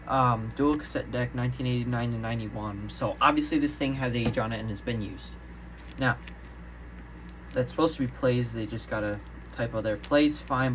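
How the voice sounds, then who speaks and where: A man's voice: medium pitch, a bit bright, poor audio. A young adult man gives a casual, unscripted show-and-tell in a rambling, easily sidetracked way. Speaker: hobbyist video maker. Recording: noticeable background noise, amateur home video.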